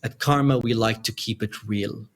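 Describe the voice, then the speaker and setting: A middle-aged man from Dubai with a light Dubai accent, answering interview questions in measured, explanatory short phrases. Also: fairly low pitch, steady tone. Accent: light Dubai English. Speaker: podcast guest, company founder.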